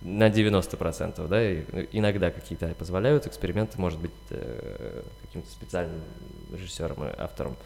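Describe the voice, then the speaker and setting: A man's voice: sits low, brisk, vocal fry, hardly any pauses, darkish tone, slight background noise. A man talking as an interview guest, explaining things casually and conversationally. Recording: long hall-like reverb.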